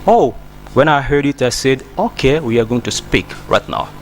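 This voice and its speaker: A man's voice: medium pitch, lively intonation, normal speed, faint music behind. A man from Congo, hosting a TV English lesson in an emphatic, encouraging way that repeats words. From Democratic Republic of Congo. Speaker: English teacher and TV host. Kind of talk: spoken English lesson to TV viewers.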